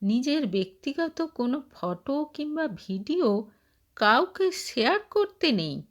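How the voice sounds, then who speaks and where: A woman's voice: high voice, very animated, slow pace, voice pushed up, heavily acted. A woman voicing a stern, protective, old-fashioned husband, earnest and reproachful, in a dramatised story. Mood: gentle reproach. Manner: earnest, reproachful questioning and advising.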